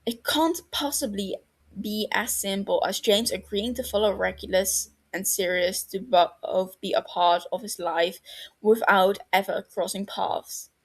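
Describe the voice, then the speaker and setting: A woman's voice: mid-range voice, unhurried, husky. A woman voicing an anxious, prickly young man who gets defensive and exasperated, raising his voice and stammering when upset.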